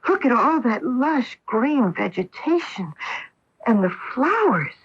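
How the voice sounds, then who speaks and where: An actress playing an awestruck, wary astronaut wife in a radio drama, with a dramatic delivery. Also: female voice, on the high side, very animated, slow, husky, full character voice. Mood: awed. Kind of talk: 1980s radio drama acting. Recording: dull lo-fi AM radio broadcast.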